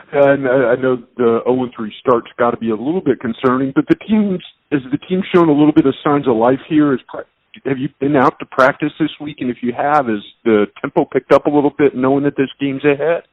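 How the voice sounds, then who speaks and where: A man's voice: mid-range voice, fairly quick, dry recording, phone-quality sound. A man hosting a sports show, interviewing a guest in a casual, conversational style.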